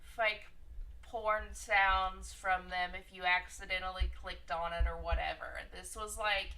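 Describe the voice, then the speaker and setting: A woman with a hoarse, raspy voice from illness, telling a story in a slow, halting way. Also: fairly low pitch, level tone, sharp tone. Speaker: guest joining the stream. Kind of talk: casual storytelling. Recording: far from the mic.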